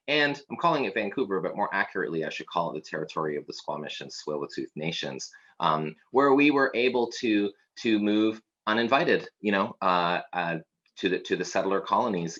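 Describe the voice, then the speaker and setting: Low-voiced Canadian man, halting and thoughtful. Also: a bit bright, lo-fi sound. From Scotland. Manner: halting, thoughtful, many fillers and restarts. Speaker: academic co-host. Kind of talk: reflective conversational chat. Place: campus office.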